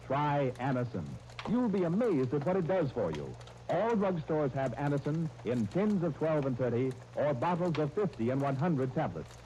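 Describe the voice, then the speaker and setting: Lively 1930s radio announcer, male. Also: fairly high pitch, slight background noise, low-quality recording. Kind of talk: 1930s radio announcing, scripted narration and sponsor copy.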